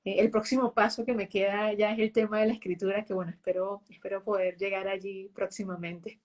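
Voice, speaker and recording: A woman's voice: mid-range voice, little pitch movement, fairly quick. A woman guest in a casual conversation, talking freely and checking in with tag questions as she goes. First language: Spanish. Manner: chatty, full of tag questions.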